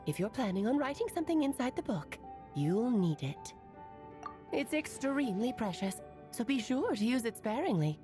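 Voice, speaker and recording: A woman's voice: fairly high pitch, very animated, moderate pace, over music. A woman voicing a playful, theatrical witch aunt who teases fondly and laughs between her lines. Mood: knowing.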